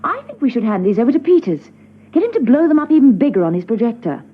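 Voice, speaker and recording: A woman's voice: a little high, big pitch swings, fast talker, husky. A woman playing a poised, inquisitive secret agent with an arch manner in a classic spy radio drama. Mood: thoughtful, intrigued. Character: poised, curious female secret agent, drily amused. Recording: dull, band-limited old radio recording.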